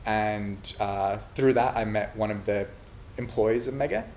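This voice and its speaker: A man from Finland who is also a nursing student, answering interview questions about his startup in a measured, thoughtful way, pausing often with "uh". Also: on the low side, a little mellow, a little hiss or hum. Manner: measured, thoughtful delivery with frequent "uh" pauses. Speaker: entrepreneur pitching a startup idea.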